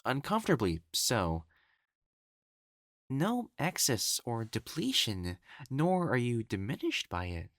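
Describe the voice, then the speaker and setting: A man playing an elegant, proper matchmaker in a dramatic audio roleplay, speaking formally and haltingly as he hides his jealousy. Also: mid-range voice, huge pitch swings, fairly bright tone, heavily acted. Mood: measured, assessing. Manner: formal, halting, stammering when flustered.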